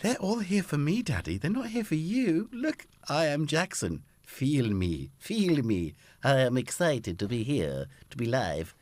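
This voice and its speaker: A cocky male house cat, voiced by a man boasts that everyone is here for him. The voice bounces up and down a lot in pitch. The sound is close and dry with no room echo. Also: big pitch swings, unhurried, hardly any pauses, darkish tone. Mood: smug. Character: cocky attention-hungry male pet cat.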